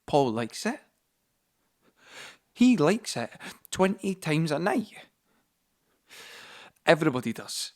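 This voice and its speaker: A man's voice: a little high, very animated, slow, long pauses. An actor playing a young Scottish man who tells a story in a confiding, colloquial way, as a dramatic monologue in an audio play. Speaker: actor-narrator. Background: music score.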